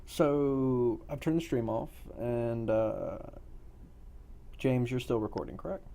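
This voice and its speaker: A man's voice: mid-range voice, slow, creaky, dark tone. A man speaking casually as he runs a post-game chat for a roleplay group. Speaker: game master of an online tabletop-style roleplay group.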